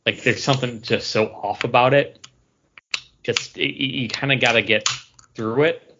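A man's voice: medium pitch, moderate pace, background noise. A man co-hosting a podcast in casual chat, talking in a rambling, hesitant, conversational way. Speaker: podcast co-host. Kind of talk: casual chat, drink review. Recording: dull lo-fi recording.

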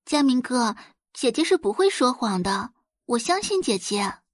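Audio drama schoolgirl played by a woman: gentle, pleading, fake-innocent sweetness, lightly high-pitched. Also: very animated, a little slow, dry recording, studio quality. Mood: gently pleading. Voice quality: soft, gentle. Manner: sighing, coy, pleading. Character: sweet, falsely innocent teenage schoolgirl younger sister.

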